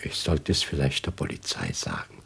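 Honest worried shopkeeper in radio drama, husky, melody goes up and down, hesitant and polite. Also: male voice, rumbling low, sing-song, normal speed, a bit bright, full character voice. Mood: pondering, uneasy. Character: honest, brooding male toy shopkeeper, a troubled witness. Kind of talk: German radio crime drama acting.

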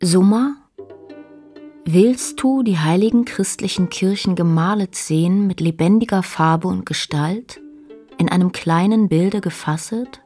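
Actress as contemplative woman for poetic radio drama: lowish, mellow, measured, unhurried recitation. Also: faint music behind, lightly acted. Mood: solemn, instructive. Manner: measured, meditative.

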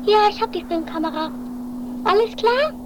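A woman playing an anxious, high-strung, pleading woman in an improvised trashy radio play, with theatrical, plaintive delivery. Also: lively intonation, moderate pace. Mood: wistful, hopeful. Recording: very noisy 1980s home-made recording.

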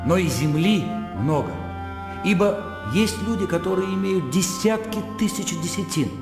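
An actor in a radio play voicing an earnest, pedantic young scholar with a deliberate, lecturing manner. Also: male voice, high-pitched, lively intonation, vocal fry. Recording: echoey reverberant sound with music.

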